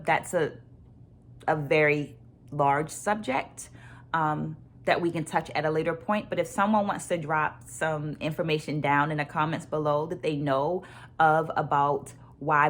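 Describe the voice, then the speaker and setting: A woman with a slightly low voice speaks as the host of an online book club. She presents her notes and thoughts deliberately, leaning on key phrases and repeating them for emphasis. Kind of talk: book discussion vlog with takeaways and read quotes.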